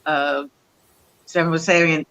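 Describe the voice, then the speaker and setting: Halting, hesitant woman guest. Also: sits low, little pitch movement, fairly bright tone, lo-fi sound. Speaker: cast member, guest. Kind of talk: casual interview answer.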